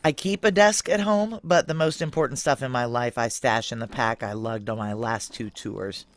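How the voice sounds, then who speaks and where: A woman's voice: rumbling low, normal speed. A tough, guarded woman veteran in a noir audio drama. She is blunt and sardonic, swears freely and mocks herself, slipping between intimate dialogue and first-person narration. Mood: confessional, self-mocking.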